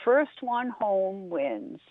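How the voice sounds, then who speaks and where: A woman voicing a calm, unhurried male turtle in a told story, speaking in slow, drawn-out words over a phone line. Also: mid-range voice, no echo. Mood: placid.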